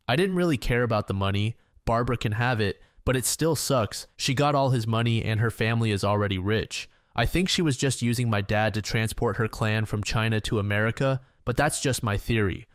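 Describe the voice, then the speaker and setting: A man with a fairly low voice reads online stories aloud in a plain, matter-of-fact way. He sits close to the mic in a dry, dead room. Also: no room sound. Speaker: reddit story narrator.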